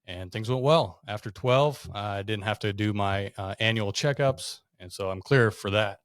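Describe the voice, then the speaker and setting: Young man, podcast guest, rambling storyteller, lowish voice, dry close sound. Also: moderate pace, no room sound. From Russia. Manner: chatty storyteller, fills with "like" and "you know". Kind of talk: casual storytelling interview.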